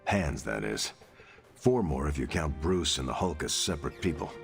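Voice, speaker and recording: An actor playing a sincere, steadfast soldier hero in a video game, speaking in an encouraging way. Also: male voice, extremely low pitch, sing-song, normal speed, vocal fry, music in the background, heavily acted. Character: steadfast soldier hero, leader type, warm and proud. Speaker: game character. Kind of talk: video game cutscene acting.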